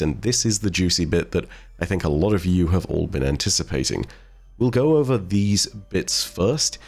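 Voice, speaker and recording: A man giving explanatory video game lore commentary to viewers in a measured, articulate, conversational way. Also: deep voice. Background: faint music.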